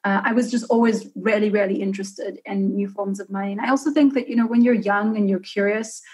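A woman's voice: mid-range voice, fairly even intonation, fairly quick, darkish tone. A young woman in her twenties with a South African accent, a guest chatting casually in an interview, who laughs easily. She talks into a desk microphone in a room at home. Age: 20s. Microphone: studio mic on a desk stand.

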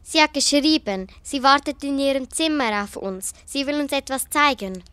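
A woman's voice: high-pitched, lively intonation, moderate pace, bright, forceful tone, no echo. A young woman acting an eager, earnest girl detective in an amateur radio play.

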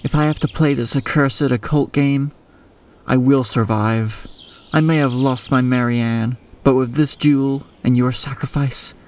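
A man acting a wary, compassionate and determined survivor of a deadly hunt in an audio drama, earnest and halting. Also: medium pitch, fairly even intonation, a little mellow, lightly acted. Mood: grieving, resolved.

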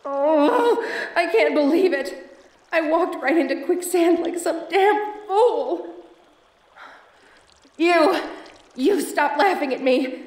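Woman acting a high-voiced theatrical femme fatale adventuress. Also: expressive, slow pace, pauses often, darkish tone, echoey room, recorded from a distance, full character voice. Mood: panicked, pleading. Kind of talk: audio roleplay acting, addressed to the listener.

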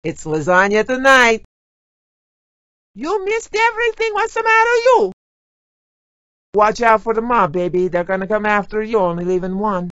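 A woman's voice: mid-range voice, sing-song, normal speed, pauses often, fairly bright tone, no room sound. A woman playing a doting, teasing Italian-American mama, a theatrical and motherly video game character. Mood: scolding, teasing. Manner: theatrical, motherly, uses endearments. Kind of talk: video game character barks, exaggerated and exclamatory. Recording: slightly dull game audio clips.

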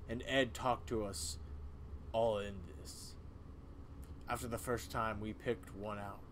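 A man's voice: on the low side, steady tone, takes it slowly, fairly bright tone, a little hiss or hum. A man voicing a grim, self-righteous old small-town cult elder with a folksy way of talking.

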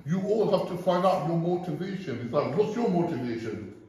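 A man lectures a gym crowd on motivation, blunt and swearing freely. His voice sits a little high for a man. It comes from well off the mic and booms around a large echoey gym. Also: lively intonation, fairly quick, a little mellow, far from the mic. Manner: blunt, profane, preachy. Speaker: gym owner giving a seminar. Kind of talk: blunt motivational talk to a group.

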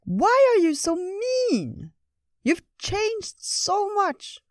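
A woman voicing a small, excitable and devoted talking squirrel in a squeaky, gushing fairytale storybook voice. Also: extremely slow, dry recording, studio quality, heavily acted. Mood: upset.

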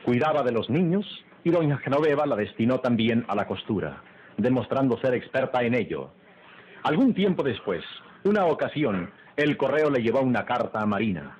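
Man as solemn melodrama narrator, scripted, mid-pitched, muffled old recording. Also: sing-song, moderate pace, a little mellow, slight background noise, lightly acted. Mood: storytelling. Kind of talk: scripted radio drama narration. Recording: dull old radio recording with music.

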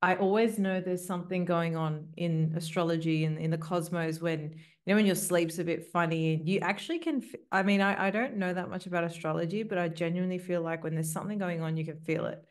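A woman's voice: lowish voice, fairly quick, hardly any pauses. A woman in her thirties from Australia with an Australian accent, a lawyer talking fluently and openly as a guest on a video call. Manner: fluent and open, conversational. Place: home office, indoors. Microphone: headset.

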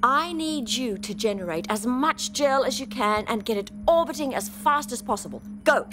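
Woman as animated, bossy older adventurer. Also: on the high side, normal speed, noisy background, lightly acted. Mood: urgent, commanding. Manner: commanding, dry, impatient. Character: brusque, clever, bossy retired woman adventurer. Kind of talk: science fiction comedy audio drama.

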